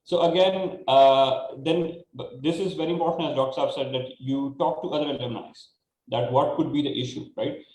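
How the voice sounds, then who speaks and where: A man with a Pakistani accent giving informal panel advice, haltingly repeating words and often checking in with "right?". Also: mid-range voice, steady tone, a little mellow. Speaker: panelist, startup incubator staff. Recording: roomy, echoing call audio.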